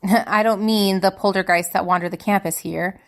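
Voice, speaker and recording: A woman's voice: medium pitch, fairly even intonation, normal speed, close to an everyday voice. A woman playing a young spellcaster student in an audio drama, confiding and hesitant, anxious and wistful. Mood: confiding, uneasy. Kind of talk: dramatic monologue in fiction podcast.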